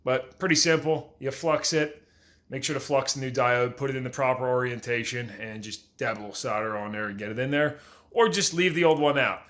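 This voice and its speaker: A man's voice: medium pitch, a little fast, a bit bright. Casual plainspoken man explaining a repair. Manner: plainspoken, informal. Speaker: host of a DIY repair tutorial. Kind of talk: casual hands-on how-to explanation.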